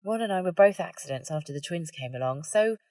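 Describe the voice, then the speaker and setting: A woman's voice: lowish voice, animated, fast talker, warm tone, dry recording, studio quality. A woman voicing a frank, nosy, caring young witch in an audiobook, talking informally, both hesitant and blunt. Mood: awkward, hesitant. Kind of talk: audiobook character dialogue.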